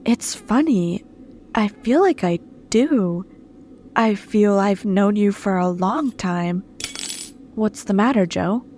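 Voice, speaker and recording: A woman plays a trusting young innocent in a vintage radio thriller. She speaks slowly and gently in a mid-range voice. Her manner is sweet and soft. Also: medium pitch, animated, slight background noise. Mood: warm, trusting. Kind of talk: old-time radio suspense acting.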